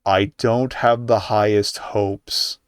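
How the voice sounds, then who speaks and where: A man's voice: on the low side, steady tone, slow, clear, resonant tone, no echo, clean studio sound. A man giving casual live game commentary as a streamer, rambling and hesitant, with muttered asides.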